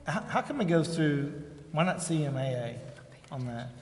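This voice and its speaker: A man's voice: fairly high pitch, lively intonation, moderate pace, darkish tone. A man chairing a formal meeting, reading out agenda items and calling for discussion in procedural language. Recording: echoey council chamber, faint noise.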